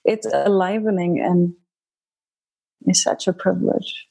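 A woman's voice: on the low side, steady tone, creaky, mellow, slightly rough, rough recording. A woman from India, a guest on a podcast, talking casually and reflectively with lots of "like" and easy laughter. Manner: reflective and unhurried, full of "like", laughs easily. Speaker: podcast guest, healing facilitator.

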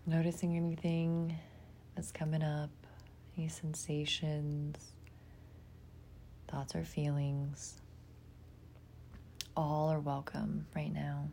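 A woman guides a meditation in a low, mellow voice. She speaks very slowly and gently, with a breathy softness and long pauses between short phrases. A faint low rumble sits behind her. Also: monotone. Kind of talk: guided imagery meditation, slow and soothing.

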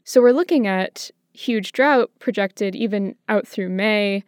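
An American woman co-hosting a podcast, explaining things in a measured, conversational way. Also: medium pitch, dry recording, studio quality. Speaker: podcast co-host.

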